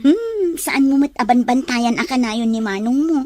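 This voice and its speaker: A woman's voice: high voice, lively intonation, moderate pace, darkish tone. A woman playing a curious, teasing friend in a domestic drama, with a questioning manner. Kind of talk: Ilocano radio-style drama acting.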